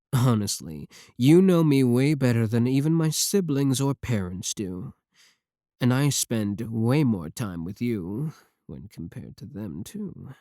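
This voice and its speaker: A man's voice: mid-range voice, darkish tone, dry recording. Man acting as an obsessive, clingy yandere streamer, close and dry. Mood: defensive, pleading. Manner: intense, pleading, intimate direct address. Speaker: ASMR roleplay voice actor. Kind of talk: ASMR roleplay monologue to the listener.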